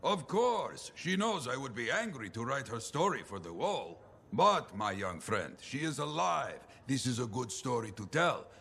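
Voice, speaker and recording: A man's voice: mid-range voice, very animated, unhurried, pushed and bright, full character voice. A kindly, seasoned storyteller from a video game. His mid-range voice rises and falls expressively, with avuncular, slightly formal phrasing. Faint ambient noise lies behind it. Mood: reassuring. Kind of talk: video game dialogue acting.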